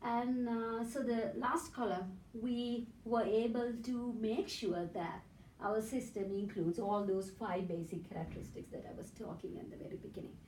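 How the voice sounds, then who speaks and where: A woman's voice: a little high, fairly even intonation, normal speed, a little mellow, a little hiss or hum. A woman from Sri Lanka with a Sri Lankan accent and Sinhala as her first language. She gives an academic conference presentation and often adds a checking "right?" as she explains her points step by step. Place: conference room in a hotel. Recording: echoey room from far off.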